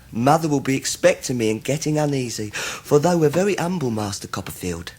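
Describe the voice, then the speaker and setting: An actor playing an obsequious, scheming young law clerk in a radio drama, fawning and full of false humility. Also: male voice, on the high side, slightly rough. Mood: gushingly humble. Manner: fawning, self-abasing, oily.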